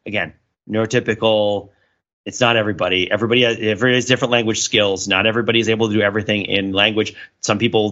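A man's voice: lowish voice, sharp tone, dull audio. A man co-hosting a casual analytical podcast, talking in a discursive way and thinking aloud as he qualifies his points. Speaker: podcast co-host.

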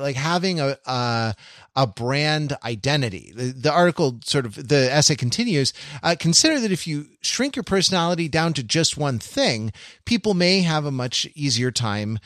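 Halting man in a dry, close recording. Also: fairly high pitch, a bit bright, no echo. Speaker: podcast co-host. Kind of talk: casual analytical discussion.

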